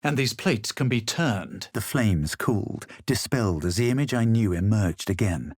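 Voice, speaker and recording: A man playing a self-assured, aloof adventurer hero in a video game, speaking with measured, dramatic delivery. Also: lowish voice, lively intonation. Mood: grave, narrating. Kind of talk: video game voice lines, scripted narration and barks.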